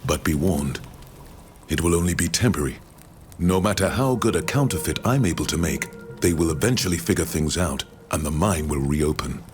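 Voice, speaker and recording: Man playing a deep-voiced, courteous foreign scholar. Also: faint music behind, natural voice. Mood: cautious, advising. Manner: measured, formal, precise wording. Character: courteous, learned male foreign scholar from the continent. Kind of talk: video game dialogue acting.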